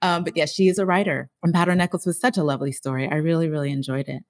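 A woman's voice: deep voice, steady tone, rapid-fire. Upbeat woman podcast host. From Ghana. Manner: upbeat, reads intro then chats loosely. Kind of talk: scripted podcast intro then chat.

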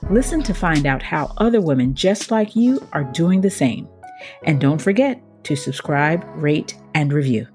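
A woman hosting a podcast, introducing the show and interviewing, with a husky voice. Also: low-pitched, lively intonation, moderate pace, a little mellow, faint music behind. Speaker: podcast host.

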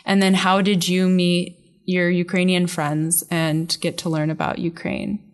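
Young woman asking questions, lower voice. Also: level tone, unhurried. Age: young adult. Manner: chatty, loosely phrased questions. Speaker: student interviewer. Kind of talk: casual interview.

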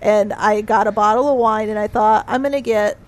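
A woman's voice: medium pitch, fairly even intonation, fairly quick, a little mellow. A woman guest-hosting a casual podcast, telling rambling stories and laughing often. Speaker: guest co-host.

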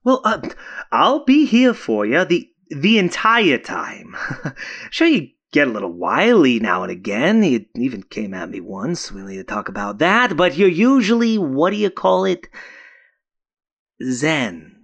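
An actor playing an eager-to-please, easily flustered young boyfriend in an audio drama, talking casually and stumbling over repeats. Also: male voice, fairly high pitch, very animated, a little slow, a bit bright, exaggerated voice. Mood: teasing, affectionate. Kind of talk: naturalistic found-footage audio drama acting.

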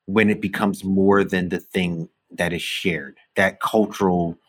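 A man hosting a podcast, chatting in a relaxed way and laughing often. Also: sits low, measured pace. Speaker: podcast host. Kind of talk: casual interview chat.

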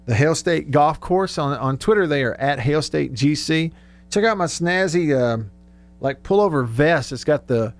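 A man hosting sports radio from a studio in a folksy, rambling, chatty way. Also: on the high side. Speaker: sports radio show host. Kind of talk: casual chat.

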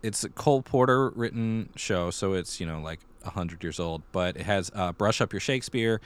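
A man's voice: low voice, normal speed. A man hosting a podcast, talking casually through theater news and thinking aloud as he goes. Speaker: podcast host.

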